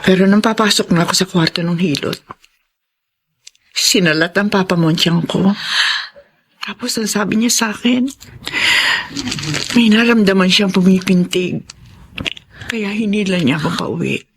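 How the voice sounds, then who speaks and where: A remorseful middle-aged Filipino mother for radio drama. Her voice is husky and mid-pitched. She talks haltingly, tearful and heavy with guilt. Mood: guilty, confessing. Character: remorseful mother confessing to her abandoned daughter.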